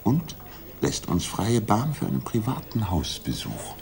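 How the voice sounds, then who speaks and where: An actor playing a confident, methodical Victorian detective in a 1960s German radio play, reasoning in a deliberate, commanding way. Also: male voice, on the low side, sing-song, vocal fry, a little mellow, heavily acted. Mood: cautioning, brisk. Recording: noisy 1960s radio drama recording.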